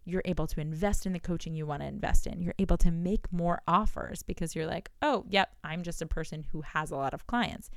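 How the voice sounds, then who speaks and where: Business coach, woman in her thirties, animated direct-to-listener delivery; smooth lower voice, dry booth sound. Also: brisk, few pauses, dry recording. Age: 30s. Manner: animated, talks to listener directly with rhetorical questions. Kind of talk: solo podcast monologue.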